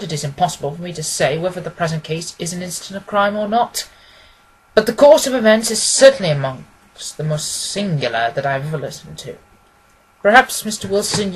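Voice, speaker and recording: A man voicing a brisk, commanding, courteous gentleman detective from a storybook, speaking formally and emphatically. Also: high-pitched, husky, heavily acted, low-quality recording.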